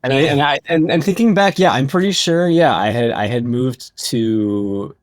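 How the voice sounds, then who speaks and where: A man's voice: medium pitch, no room sound, studio quality. A man chatting casually as a podcast co-host, often breaking off and restarting his sentences. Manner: halting, self-interrupting. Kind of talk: casual reminiscing chat.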